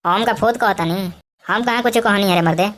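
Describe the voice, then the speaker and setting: A woman's voice: medium pitch, brisk, heavy background noise, natural voice. Woman voicing a cheeky Bhojpuri schoolboy. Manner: protesting, brash, colloquial. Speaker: voice actor. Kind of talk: cartoon comedy dialogue.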